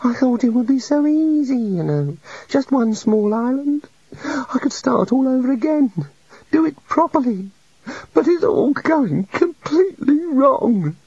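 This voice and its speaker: A man's voice: very high-pitched, animated, measured pace, dark tone, breathy edge, dry recording, dull audio, pitched above the performer's own voice, full character voice. A man voicing a meek, gloomy male island god with a sad, fussy manner, in an audiobook reading. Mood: wistful.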